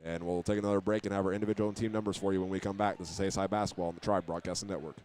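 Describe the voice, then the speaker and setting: A man's voice: deep voice, flat delivery, very fast, darkish tone, a little hiss or hum. A man giving postgame basketball radio commentary, reading out statistics with some hesitation. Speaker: sports play-by-play announcer.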